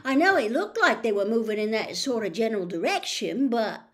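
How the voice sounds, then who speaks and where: A woman's voice: on the high side, expressive, fairly quick, voice pushed up, natural voice. A woman voicing a hesitant, grumbling schoolboy wizard in a storybook reading. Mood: doubtful. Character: boy wizard's best friend, hesitant, resigned, grumbling.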